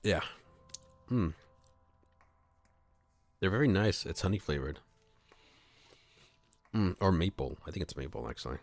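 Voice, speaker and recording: A man's voice: low-pitched, expressive, measured pace, gravelly, pauses often, no echo. A man streaming a read-aloud of a visual novel, narrating and adding casual, playful asides. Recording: music playing behind the voice. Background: music.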